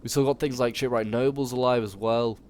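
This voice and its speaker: A man's voice: mid-range voice, steady tone, a little fast, a little mellow. A man with a cold, sounding snuffly, casually commentating a battle replay with wry asides and frequent ums. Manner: chatty and wry, with frequent ums and self-corrections. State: stuffy with a cold. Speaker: game channel host commenting a battle replay.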